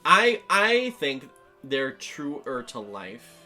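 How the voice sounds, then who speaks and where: Young guy riffing on a game, wry, bright animated voice, bit of music behind. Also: mid-range voice, drawn-out words. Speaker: gaming show co-host. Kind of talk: casual gameplay commentary.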